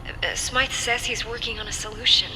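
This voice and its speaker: A woman's voice: fairly high pitch, fairly even intonation, a little fast, sharp tone, slight background noise, rough recording. A young woman acting a worried, urgent hero's girlfriend in a video game cutscene. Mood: anxious.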